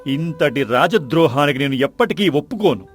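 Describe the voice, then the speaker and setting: A man's voice: fairly high pitch, lively intonation, normal speed, faint music behind, lightly acted. A man playing a proud, hot-tempered king in a folk-tale drama, commanding and indignant.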